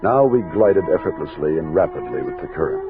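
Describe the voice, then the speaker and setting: An American actor playing a steady, commanding army captain of a frontier expedition in an old-time radio drama. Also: male voice, extremely low pitch, brisk, phone-quality sound, over music, exaggerated voice. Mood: reflective narrating. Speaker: lead actor and narrator. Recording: thin, noisy vintage radio recording.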